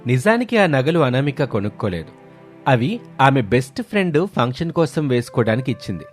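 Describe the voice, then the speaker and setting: A man's voice: medium pitch, very animated, brisk, faint music behind. A man narrating a scripted moral story.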